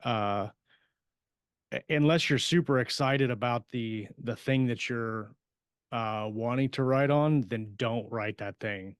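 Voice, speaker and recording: A man chats casually as a podcast co-host. His voice is clear and smooth at a medium pitch, his phrasing halting, and the recording is dry and close. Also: dry recording. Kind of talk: casual chat.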